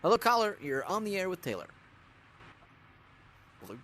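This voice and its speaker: A man's voice: high-pitched, sing-song, moderate pace, a bit bright. A man doing casual, off-the-cuff gameplay commentary while playing a radio DJ. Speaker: gamer, video host playing a radio DJ.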